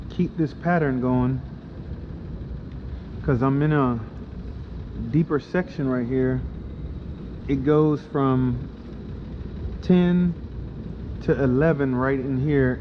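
Slow-talking man fishing from a kayak. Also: medium pitch. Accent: Florida. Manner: slow, explanatory, excited when fish bite. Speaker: kayak fishing video host. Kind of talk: casual on-the-water commentary. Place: kayak on water under a pier, outdoors. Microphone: action camera mounted on kayak. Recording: muffled action-cam audio with water noise.

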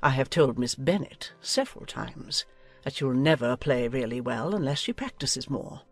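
An imperious, husky older noblewoman. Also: extremely low pitch, animated, moderate pace, no echo, faint music behind, pitched below the performer's own voice. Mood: lecturing. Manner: pompous, commanding. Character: imperious, meddling older aristocratic lady. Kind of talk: dramatized audiobook acting.

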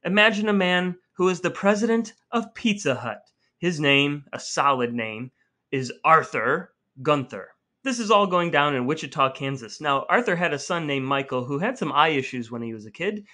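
A man's voice: fairly high pitch, bright, forceful tone. A middle-aged American man hosting a podcast, speaking in a scripted, narrating style. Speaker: podcast host.

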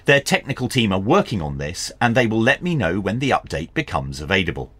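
A man in his fifties presenting a scripted product review in a measured, clearly enunciated way. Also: on the low side, sing-song, a bit bright, no room sound. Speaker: host of a radio review channel. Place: small indoor workshop.

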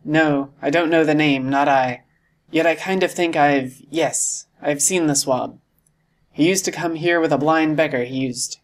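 A man voicing a cheerful but cunning one-legged sailor and tavern landlord in a storybook, with hearty, forceful, salty nautical talk. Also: fairly high pitch, normal speed, close to an everyday voice. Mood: confiding, excited.

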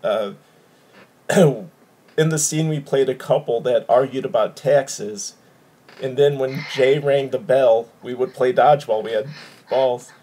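A man in his thirties from Wisconsin hosts a laid-back video call from his living room. His voice is mellow and a little husky, and he rambles with easy chuckles. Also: mid-range voice, slow. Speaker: podcast host. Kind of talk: casual chat over video call.

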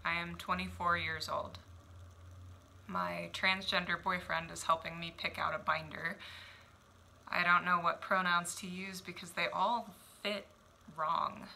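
Woman in her 20s doing spoken word at home on a webcam, slow deliberate pauses, very bright forceful tone. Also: on the low side, level tone, creaky, slight background noise. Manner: measured, reflective, deliberate pauses. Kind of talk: spoken word poetry performance. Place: small home room indoors. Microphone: headphones and webcam.

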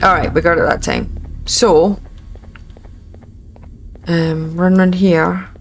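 A woman's voice: fairly low pitch, steady tone, takes it slowly, slight background noise. A Scottish woman in her thirties giving chatty, wry, informal commentary as she plays a video game. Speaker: gaming video host. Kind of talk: casual let's play commentary.

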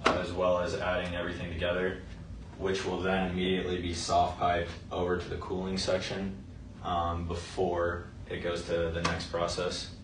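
A young man, a student, narrating a class project in a slow monotone with many ums. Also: deep voice. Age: young adult. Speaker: student presenter. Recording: roomy, distant mic, faint noise.

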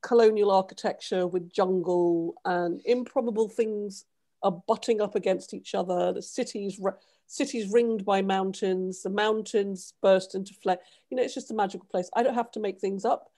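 A middle-aged woman from Trinidad and Tobago, a guest author on a video-call panel. She talks in an animated, casual way through a headset, with many ums. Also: medium pitch, moderate pace. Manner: animated, gestures while talking, many ums. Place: home room, video call.

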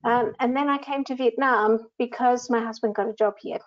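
A woman's voice: on the high side, fairly even intonation, normal speed. A woman with a New Zealand accent, a grants manager giving a conversational webinar talk over a video call. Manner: conversational, with frequent ums. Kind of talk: presenting slides in a webinar. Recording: slightly dull video call audio.

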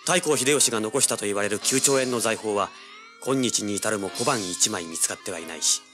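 Man playing a cocky young treasure hunter, lowish voice. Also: fairly quick, natural voice. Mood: confident, dramatic. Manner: self-assured, hard-boiled. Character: cocky teenage male treasure hunter, hard-boiled hero. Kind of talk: dramatic first-person narration and dialogue in an audio drama. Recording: music behind the voice. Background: background music.

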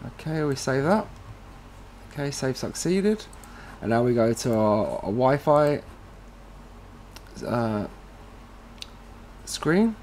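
A man presenting a casual step-by-step tutorial with a slightly husky voice, informally talking through each step as he does it. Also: medium pitch, lively intonation, slow, long pauses, a little mellow, slight background noise.

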